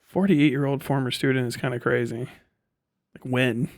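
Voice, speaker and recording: A man's voice: mid-range voice, steady tone, moderate pace, dry recording, clean studio sound. A man chatting casually as a live streamer, rambling and sardonic, reading things aloud and swearing offhandedly.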